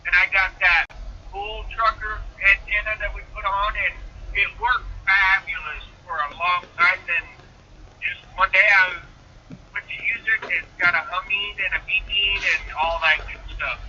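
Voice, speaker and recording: A man's voice: high voice, fairly even intonation, moderate pace, far from the mic, slight background noise. A man calling in on the phone, talking casually. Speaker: caller. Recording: dull, sharp phone line.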